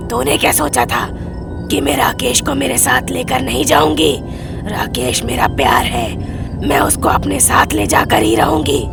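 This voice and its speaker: A vengeful, heavily creaking demon witch. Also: high voice, exaggerated voice. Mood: menacing, defiant. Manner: menacing, defiant, dramatic. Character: vengeful lovelorn female witch demon, dead and back. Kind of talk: animated horror story acting.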